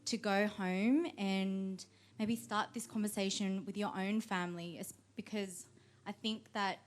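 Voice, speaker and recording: Woman introducing herself at a live talk, earnest and steady. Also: mid-range voice, measured pace. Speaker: event co-host. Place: event room with audience.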